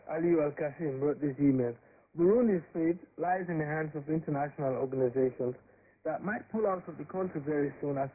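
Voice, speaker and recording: A man presenting on a radio programme, reading out listener messages over a shortwave broadcast. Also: fairly high pitch. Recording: tinny, noisy shortwave radio signal.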